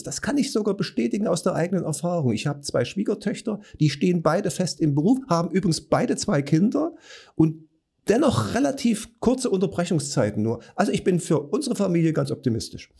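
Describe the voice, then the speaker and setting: An older man co-hosts a podcast in a husky, mellow voice. He talks thoughtfully and haltingly, repeating words as he restarts. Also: fairly high pitch, big pitch swings. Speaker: podcast co-host. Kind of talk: podcast interview hosting.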